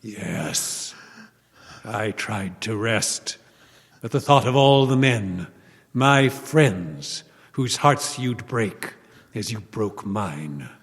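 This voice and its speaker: A man acts out a vengeful walking corpse in a live old-time radio horror play. He speaks very slowly and solemnly, with deliberate, incantatory menace, his voice trailing into long hall reverb. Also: fairly low pitch, animated, exaggerated voice. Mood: mournful, menacing.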